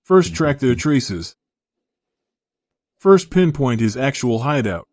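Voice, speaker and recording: A curt, commanding prince. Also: male voice, medium pitch, very animated, takes it slowly, darkish tone, no room sound. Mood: commanding, urgent. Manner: curt, authoritative orders. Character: commanding, guarded young prince of imperial China. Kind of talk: dubbed period drama acting.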